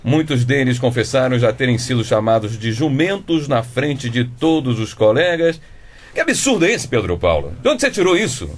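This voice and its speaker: A man's voice: medium pitch, sing-song, moderate pace, a little hiss or hum, lightly acted. A man playing an indignant, evasive middle-aged father and schoolteacher in a comedic radio drama, pompous and theatrical.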